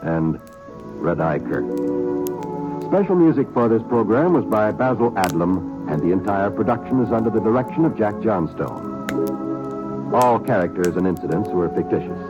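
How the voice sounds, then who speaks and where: Male announcer, crisp formal delivery, lowish lively voice, loud music bed, muffled old broadcast. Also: normal speed. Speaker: radio announcer. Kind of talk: network announcement. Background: orchestral music.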